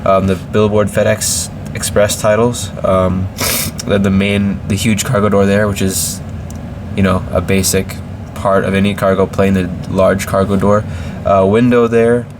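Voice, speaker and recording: A man's voice: low-pitched, level tone, a little slow, noisy background. A man with a slight cold reviewing a model airplane in a casual, hesitant way, with many ums and restarts.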